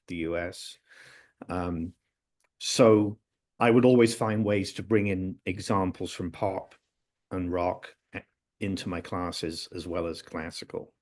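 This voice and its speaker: An older man from England, a music theory professor recalling his career on a video call from his office, with relaxed storytelling and joking asides. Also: sits low. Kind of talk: casual interview. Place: office, indoors. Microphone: video call, low-angle webcam.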